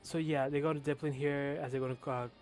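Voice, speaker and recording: A man's voice: medium pitch, flat delivery, fairly quick, a little mellow, soft background music. A young man with a lisp casually commentating his own competitive match, talking loosely with fillers and the odd swear word.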